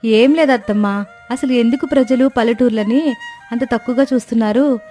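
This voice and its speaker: A woman voicing a gentle, earnest young village daughter-in-law in a cartoon moral story. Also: on the high side, fairly quick, faint music behind, natural voice.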